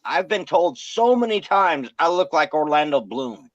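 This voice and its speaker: A man's voice: fairly high pitch, sing-song, measured pace, dry recording, lo-fi sound. A man in his forties chatting casually and laughing, often restarting his sentences. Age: 40s. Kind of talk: casual chat.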